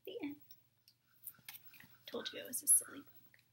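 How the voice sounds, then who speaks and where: A young adult woman reading picture books to children at story time in a soft, hushed, breathy manner. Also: moderate pace, in a whisper. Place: small room at home, indoors.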